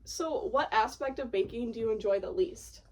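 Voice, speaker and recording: A woman's voice: on the high side, normal speed, far from the mic, rough recording. A woman hosting a casual podcast interview, speaking informally and conversationally with lots of "like".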